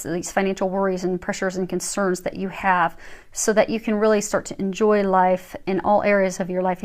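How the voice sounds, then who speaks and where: A woman with a medium-pitched voice reads tarot cards in an informal way. She gives spiritual advice in a reassuring, encouraging manner. Her delivery is halting, and she often repeats phrases as she goes. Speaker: tarot reader.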